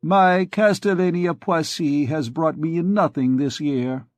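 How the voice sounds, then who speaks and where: A man's voice: fairly high pitch, a little slow, dry recording, voice pushed up, natural voice. A man voicing a crafty, harsh royal physician of about fifty who grumbles and pities himself. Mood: complaining. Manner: grumbling, self-pitying. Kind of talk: audiobook dialogue.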